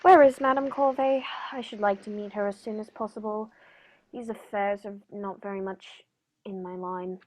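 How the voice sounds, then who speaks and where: A woman playing a courteous, composed English male detective with a measured, formal manner in a radio play. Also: medium pitch, steady tone, darkish tone, close to an everyday voice, rough recording. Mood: polite. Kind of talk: 1940s radio play acting.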